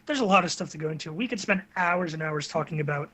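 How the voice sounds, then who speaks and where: Guy hosting a chatty show, kinda high bright punchy voice, into what he's saying. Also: expressive, fast, breathy edge. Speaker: talk show host. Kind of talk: casual conversational talk.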